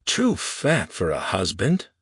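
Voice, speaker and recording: Jeering western townsman for audiobook, played by a male reader: scornful heckler, slightly rough clarity. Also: deep voice, huge pitch swings, slow, no room sound, studio quality, full character voice. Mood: mocking. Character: mocking, jeering men in a frontier town crowd.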